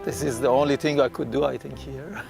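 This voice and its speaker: A middle-aged man from Iran, a taxi driver, talking haltingly with pauses and fillers in a documentary interview. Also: medium pitch, fairly even intonation, vocal fry, music in the background.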